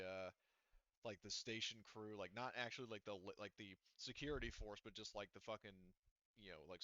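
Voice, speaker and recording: A man's voice: on the low side, pushed and bright, smooth, no room sound. A man running a tabletop roleplaying game, narrating loosely with lots of fillers and casual swearing. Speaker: game master. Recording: muffled lo-fi audio, dry.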